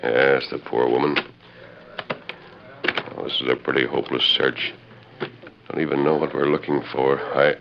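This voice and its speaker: An actor playing a calm, earnest, commanding male western hero in a 1940s radio drama, speaking in a measured, well-spoken way. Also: a bass voice, creaky. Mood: pondering, serious. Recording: muffled vintage 1940s radio recording.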